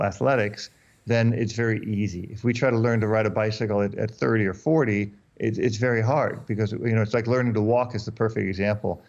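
German-born man, low voice, dull call audio. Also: a little mellow. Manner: explanatory, repeats words and restarts often. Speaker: dog trainer. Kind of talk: interview guest on a video call. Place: dark room indoors. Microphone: headphones and desk mic on a video call.

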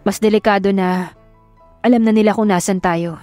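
A woman's voice: mid-range voice, fairly even intonation, moderate pace, a little mellow, dry recording, soft background music, close to an everyday voice. A Filipino woman voicing a protective young male hero, urgent and scolding.

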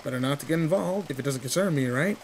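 A man's voice: on the high side, quick pace, slight background noise, lightly acted. A man playing a tall, protective, secretly sweet teenage delinquent in an intimate roleplay audio drama, talking in casual, teasing slang. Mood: candid, bitter. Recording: steady rain ambience behind voice. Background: rain in a forest.

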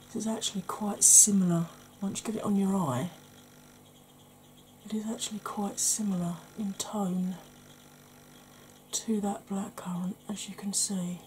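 Soft-whispering Welsh woman, mellow voice. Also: medium pitch, steady tone, measured pace, long pauses, a little hiss or hum. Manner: soft, often whispered, chatty and mildly sarcastic. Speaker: beauty YouTuber. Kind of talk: ASMR makeup tutorial. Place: indoors at home, face in close-up.

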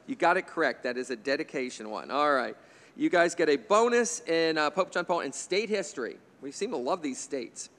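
A man's voice: a little high, expressive, moderate pace, a bit bright, in a large hall. A man in his fifties moderating a quiz bowl from a studio desk mic, reading questions with chatty, jokey asides. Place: indoor TV studio stage. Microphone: desk microphone on a stand.

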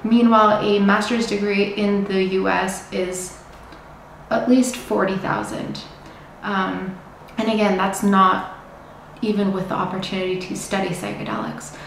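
A young American woman talking casually to camera, explaining things in a chatty, informative way with some fillers, in a bright room indoors. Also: medium pitch, fairly even intonation, measured pace. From United States. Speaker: vlogger, psychedelic research student. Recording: very echoey room, faint hiss.

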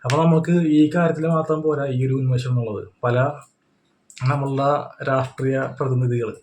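A man's voice: mid-range voice, steady tone, normal speed, a little mellow. A man giving unscripted opinion commentary in a repetitive, explanatory way, often checking in with the listener. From India. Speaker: YouTube reaction commentator.

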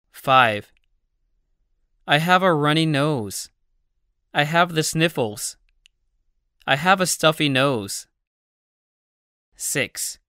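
Very slow, bright, crisp phrase reader. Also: mid-range voice, no echo, studio quality. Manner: slow, evenly spaced delivery of numbered phrases. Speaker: narrator of a language-learning audiobook. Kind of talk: slow scripted phrase reading for learners.

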